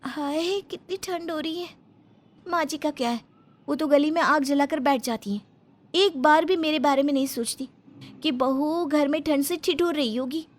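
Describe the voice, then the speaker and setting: A woman's voice: high-pitched, moderate pace, natural voice. A woman playing a spoiled, complaining young daughter-in-law from a rich family in a dramatised family story, whiny and demanding. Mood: sulky grumbling.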